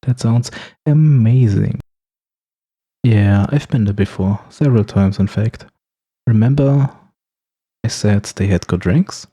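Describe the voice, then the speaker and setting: A low, husky supervillain played by a man in an ASMR roleplay. He is teasing and sarcastic, bantering with his hero rival in a dark, relaxed tone, snarky but principled. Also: slow, long pauses. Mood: warm, curious. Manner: sarcastic, playful, bantering, with chuckles. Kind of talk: ASMR roleplay monologue to the listener.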